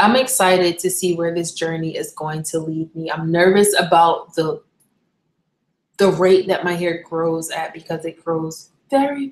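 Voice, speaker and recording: Echoey, low-pitched young woman chatting casually. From Barbados. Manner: candid, rambling, self-correcting. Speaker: live stream host. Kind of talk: casual live stream chat. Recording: echoey room.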